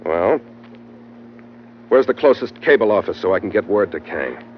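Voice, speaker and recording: An actor playing a tough, sardonic ship's captain in an old-time radio adventure, wry and hard-boiled. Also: male voice, on the low side, animated, normal speed, a little hiss or hum, close to an everyday voice. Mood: sardonic, wary. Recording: muffled vintage radio recording with music.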